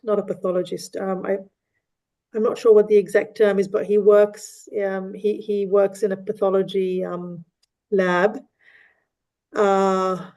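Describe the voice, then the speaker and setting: Woman, unhurried community speaker, mellow flat delivery, frequent restarts. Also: mid-range voice. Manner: hesitant, many fillers and restarts. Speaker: mosque community member. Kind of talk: casual discussion. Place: online meeting.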